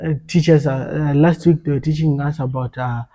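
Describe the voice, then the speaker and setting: A man's voice: fairly high pitch, fairly even intonation, a little fast, dark tone. A young African man talking earnestly in a casual podcast conversation, often repeating and restarting his words.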